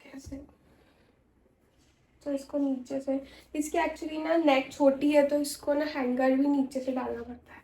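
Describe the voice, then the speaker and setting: Young woman vlogger from India, sore throat, high gravelly voice, rambling chat. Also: little pitch movement, a little slow, long pauses, dark tone. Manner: chatty, rambling, many fillers. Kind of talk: casual chat to camera. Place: at home in her bedroom.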